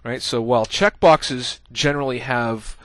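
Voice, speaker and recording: A man's voice: medium pitch, moderate pace, fairly bright tone, no room sound. A man giving a casual screencast coding tutorial, thinking aloud as he types, with many "uh" fillers. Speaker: programming instructor.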